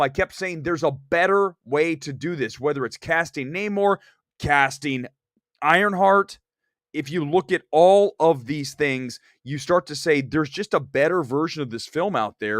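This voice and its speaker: A man's voice: fairly high pitch, a bit bright, dry recording, clean studio sound. Man hosting movie talk, slight cold. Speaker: movie talk show host. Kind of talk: opinionated film review reading viewer comments.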